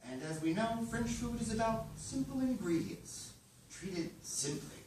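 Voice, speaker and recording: An actor playing an exacting chef instructor at a screenplay reading, deliberate and theatrical with dramatic pauses. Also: mid-range voice, animated, a little mellow, close to an everyday voice. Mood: instructing, firm. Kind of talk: screenplay table read, acted dialogue. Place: live staged reading in a room. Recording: roomy echo, distant mic, faint noise.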